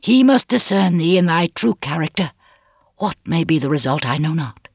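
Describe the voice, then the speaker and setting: A reader as an anguished, resolute woman, husky-toned. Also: mid-range voice, very animated, moderate pace, a little mellow, no echo, clean studio sound, voice pushed up, full character voice. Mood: firm, despairing. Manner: solemn, archaic, pleading speech.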